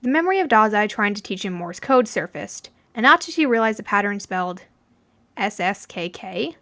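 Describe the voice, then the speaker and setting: A woman's voice: mid-range voice, sing-song, fairly quick, a bit bright. A woman reading fan fiction aloud as a podfic narrator, in storytelling prose with acted dialogue.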